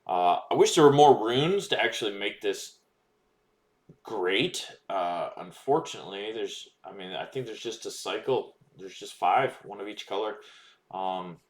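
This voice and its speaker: Low-voiced man reading out trading cards. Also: lively intonation, measured pace. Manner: reads card text aloud with frequent ums and uhs. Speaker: card-opening streamer. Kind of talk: casual card-by-card commentary while opening packs.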